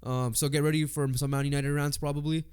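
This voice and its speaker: A man's voice: a little high, flat delivery, darkish tone, smooth. A congested man co-hosting a casual sports podcast, reading off lists with lots of fillers. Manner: reads off lists haltingly with fillers. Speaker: podcast co-host.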